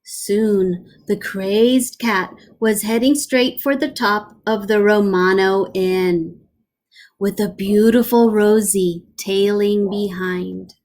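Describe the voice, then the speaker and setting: A woman teacher reading a picture book aloud to children, telling the story in an animated way with playful asides. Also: medium pitch, slow pace. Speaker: elementary school teacher.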